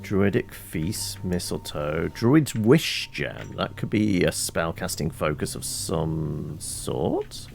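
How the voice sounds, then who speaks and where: Low, creaky man commentating on a game. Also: expressive, takes it slowly, hardly any pauses, faint music behind. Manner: rambling, thinking aloud, chatty. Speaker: game streamer, host. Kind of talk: casual let's play commentary.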